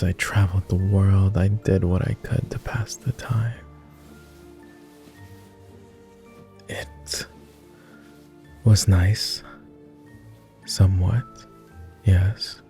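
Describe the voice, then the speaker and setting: Man acting a low, slow, weary vampire. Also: mellow. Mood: wistful. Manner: formal, measured, hesitant with pauses. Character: weary, reserved, self-loathing male vampire, immortal, courteous. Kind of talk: intimate ASMR roleplay acting.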